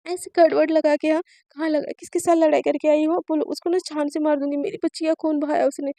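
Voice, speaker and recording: A woman's voice: high-pitched, little pitch movement, mellow, no echo. A woman voicing a frantic, overprotective middle-aged mother in a spoken story, firing off worried questions. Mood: furious. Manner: panicky, rapid-fire questions.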